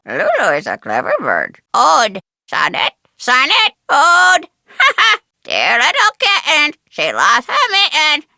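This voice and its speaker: A woman voicing a cheeky, bossy, vain pet parrot in a video game, squawky and shrill, repeating phrases in clipped bursts. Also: gravelly, bright, forceful tone, no echo, clean studio sound, exaggerated voice. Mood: chatty, showing off. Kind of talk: cartoonish video game character acting.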